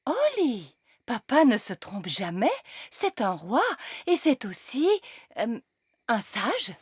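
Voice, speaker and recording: Husky, soothing fairy mother. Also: a little high, huge pitch swings, takes it slowly, dry recording, studio quality, full character voice. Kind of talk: animated series dub acting.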